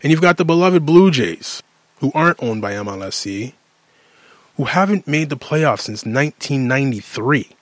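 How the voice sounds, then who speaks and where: A man's voice: mid-range voice, lively intonation, normal speed, dry recording. Exasperated Canadian man ranting, close dry sound. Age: late 30s. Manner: exasperated, emphatic, repeats phrases for effect. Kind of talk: casual sports rant monologue.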